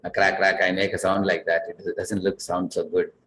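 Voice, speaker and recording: A man's voice: sits low, little pitch movement, normal speed, a bit bright, slight background noise. A man giving a sermon full of stories, often asking the audience questions and adding "huh?". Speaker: visiting speaker giving a talk. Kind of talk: storytelling sermon.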